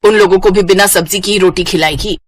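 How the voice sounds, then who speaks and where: A woman's voice: medium pitch, steady tone, quick pace, faint music behind, close to an everyday voice. A woman voicing an exasperated middle-aged mother who chides her lazy daughter in a cartoon moral story. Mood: scolding. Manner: chiding, exasperated.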